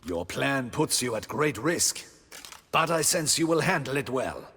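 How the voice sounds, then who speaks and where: A man's voice: mid-range voice, big pitch swings, unhurried, a bit bright, breathy edge, exaggerated voice. A man voicing a bold, dramatic fighter in a fantasy video game. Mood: solemn, resolute. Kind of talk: video game cutscene acting. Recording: long hall reverb, music.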